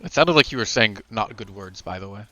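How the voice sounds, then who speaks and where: A man from Israel casting a live esports match, calm and dry in his play-by-play. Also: on the low side, fairly quick. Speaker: esports caster. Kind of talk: live game commentary.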